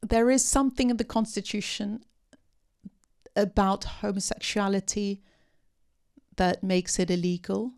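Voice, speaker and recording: A woman speaking unscripted at a post-film discussion, answering audience questions with many pauses, ums and restarts. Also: mid-range voice, steady tone, a little mellow. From part Syrian.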